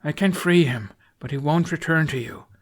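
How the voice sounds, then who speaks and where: A man's voice: on the high side, normal speed, voice pushed up, close to an everyday voice. A man voicing a resolute, strained young male archer in an audiobook, with a commanding manner. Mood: grave. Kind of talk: audiobook character voice.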